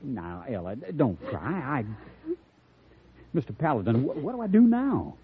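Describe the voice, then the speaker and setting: An actor playing a bashful, flustered young cowboy who stammers in a folksy Western drawl in an old radio drama. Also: male voice, fairly high pitch, huge pitch swings, normal speed, noisy background. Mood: panicked. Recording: thin narrowband vintage radio recording.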